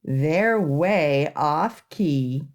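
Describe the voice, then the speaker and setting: Lady doing kids storytime, super slow reading, voice goes up and down a lot, very expressive. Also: low-pitched. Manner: expressive, measured delivery with emphasis on rhymes. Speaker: children's librarian and storytime host. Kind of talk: read-aloud picture book storytelling for young children.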